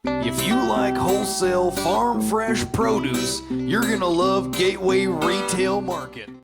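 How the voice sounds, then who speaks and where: Guy doing a gravelly joke jingle, slow and draggy, guitar going loud behind. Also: high-pitched, expressive. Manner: sung and spoken, crude, swearing. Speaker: jingle singer.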